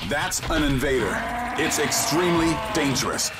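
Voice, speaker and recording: An actor playing a composed, commanding mission guide in a sci-fi video game, speaking in a clipped, technical, instructive way. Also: male voice, a little high, normal speed, loud music behind the voice, lightly acted. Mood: urgent warning. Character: composed, commanding man guiding a soldier through alien invasion. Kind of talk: scripted video game voice acting.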